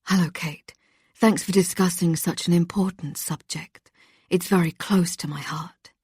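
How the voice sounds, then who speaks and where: A woman voicing a calm, relaxed adult male kidnapper with a Russian accent in an audiobook. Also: lowish voice, measured pace, breathy edge, no room sound, studio quality. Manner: relaxed, even and controlled. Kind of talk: audiobook character voice.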